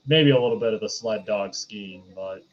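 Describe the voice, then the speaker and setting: A man's voice: on the low side, normal speed. Man with slightly muffled mic, casually debating. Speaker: podcast co-host reviewing films. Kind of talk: casual chat, film review.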